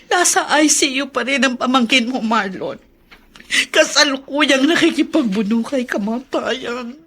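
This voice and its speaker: A woman's voice: high voice, animated, slow, sharp tone, slightly rough, full character voice. An actress playing an anguished mother afraid for her only child in a radio drama. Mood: anguished, determined.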